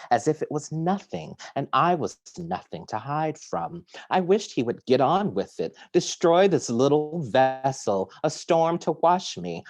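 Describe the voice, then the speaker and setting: A man reads his poem in a hushed, deliberate voice over a video call. His pitch is middling. The sound is dry and close, with no room echo. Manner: slow, hushed, deliberate reading. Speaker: poet reading. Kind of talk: poetry reading on a video call.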